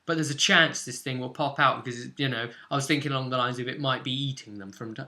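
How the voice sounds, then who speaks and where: Bright, forceful British man as game master. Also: mid-range voice, fast. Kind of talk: casual recap of a tabletop role-play session.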